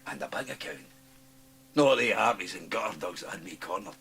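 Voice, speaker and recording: A man's voice: sits low, expressive, brisk, creaky, a bit bright, heavily acted. An actor playing a sly, menacing, gleeful Scottish man in a radio drama, taunting and insinuating, breaking into hissing whispers. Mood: scornful, boastful. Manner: taunting, insinuating, swinging from cajoling to snarling.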